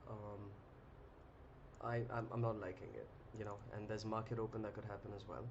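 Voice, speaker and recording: A young man hosting a live trading stream, chatting casually with viewers in a relaxed, rambling way with many ums and restarts. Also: on the low side, brisk. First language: English. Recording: muffled lo-fi audio with clear noise.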